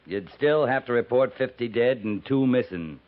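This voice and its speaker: An actor playing a blunt, weary US cavalry captain with a curt, sardonic delivery in a 1950s radio western. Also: male voice, medium pitch, lively intonation, normal speed, close to an everyday voice. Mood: firm, grim. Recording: muffled narrow-band old 1950s radio recording.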